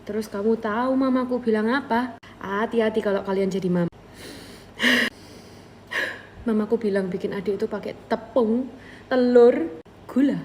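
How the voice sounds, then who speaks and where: A young woman telling an animated story in a short video clip. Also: a little high, normal speed, pauses often. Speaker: TikTok creator. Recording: echoey room, faint noise.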